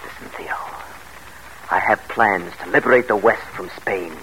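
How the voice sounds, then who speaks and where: A man's voice: lowish voice, normal speed, bright, forceful tone. An actor in a classic radio drama playing a scheming, grandiose exiled father with a commanding, theatrical manner. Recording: noisy old radio drama recording.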